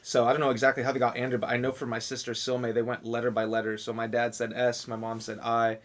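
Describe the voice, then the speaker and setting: A man's voice: mid-range voice, monotone. A man in his twenties, a podcast guest telling jokey stories in casual chat. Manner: fast, upbeat, jokey talker. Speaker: guest, company CEO.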